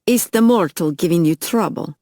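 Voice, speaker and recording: A woman's voice: lowish voice, animated, normal speed, darkish tone, no room sound, studio quality. A woman voicing a cold, commanding female vampire leader who speaks in curt, clipped orders.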